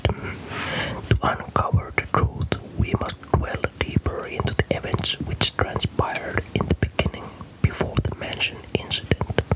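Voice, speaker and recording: A man narrates a story entirely in a soft, breathy whisper. His delivery is slow and deliberate, in the style of ASMR, with each phrase carefully set down as scripted storytelling. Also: rough recording. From Finland.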